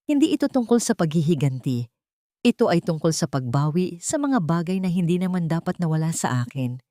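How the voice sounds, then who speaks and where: A woman's voice: on the low side, sing-song, brisk, dark tone, no echo, clean studio sound. A woman playing a betrayed wife in an audio love drama, composed and determined. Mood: calm resolve. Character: calm, resolute betrayed wife reclaiming her dignity.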